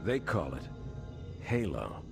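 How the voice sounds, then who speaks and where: A man's voice: low-pitched, big pitch swings, slow pace, creaky, heavily acted. A man playing a stern middle-aged military captain in a video game, grave and authoritative, with music behind. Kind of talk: dramatic video game acting.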